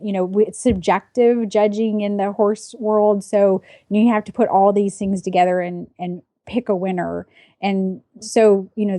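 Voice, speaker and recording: Middle-aged woman, measured podcast co-host. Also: medium pitch, mellow, no echo, clean studio sound. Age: 40s. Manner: measured, reasoning, explanatory. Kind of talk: casual pop-culture podcast chat.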